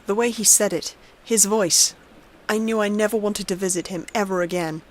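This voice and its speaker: A woman's voice: medium pitch, moderate pace. A woman reading a scripted horror story aloud as its narrator.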